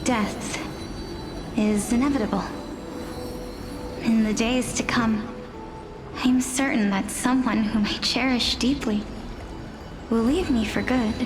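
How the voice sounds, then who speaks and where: High-voiced young scholar, unhurried and teasing, game music behind. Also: female voice. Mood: solemn. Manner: teasing, giggly storyteller. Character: young woman scholar, playful storyteller. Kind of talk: game voice acting. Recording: game dialogue over music.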